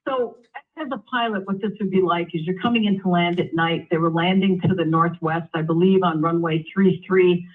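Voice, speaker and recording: A woman giving measured expert explanations in a live news interview, heard over a narrow phone-like line. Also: on the low side. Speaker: aviation expert, former airline pilot.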